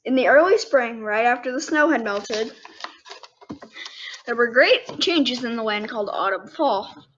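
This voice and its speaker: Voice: medium pitch, lively intonation, unhurried, a bit bright, dull audio, a little hiss or hum. A ten-year-old child host reading a storybook aloud, with casual asides to the listeners. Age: 10 years old.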